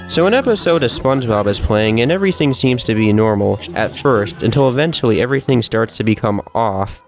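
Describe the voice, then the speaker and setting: Casting: male online commentator, casual and opinionated, earnest and emphatic delivery, mid-range pitch, music underneath. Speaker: video commentator. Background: background music.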